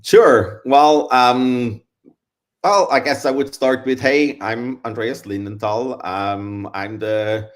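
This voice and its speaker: Measured man, middle-aged. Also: mid-range voice. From Switzerland. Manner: measured, hesitant, many fillers and restarts. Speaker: guest, engineering consultant. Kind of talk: conversational interview answers.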